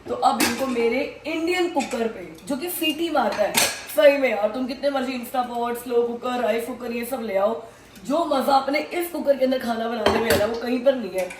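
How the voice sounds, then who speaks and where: Husky, hoarse woman in an echoey room. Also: a little high, moderate pace, slight background noise. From India. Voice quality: naturally husky voice. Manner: chatty, laughs often. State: hoarse, strained throat today. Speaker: family vlogger. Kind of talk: casual chat to camera.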